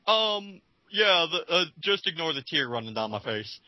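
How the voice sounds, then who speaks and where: A man's voice: on the high side, big pitch swings, normal speed, bright, forceful tone, no room sound, poor audio. A young man hosting a group reading on a voice call, giving casual, sarcastic and theatrical commentary. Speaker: host of a group dramatic reading. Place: voice call, close dry sound.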